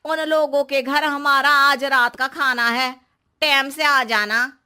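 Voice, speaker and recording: A woman's voice: sits high, fairly even intonation, slow pace, exaggerated voice. A woman voicing a sarcastic, nagging young Indian housewife in a cartoon comedy, scolding and exclaiming. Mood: sarcastic, annoyed. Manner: scolding, exclaiming, sharp-tongued. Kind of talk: Hindi cartoon comedy acting.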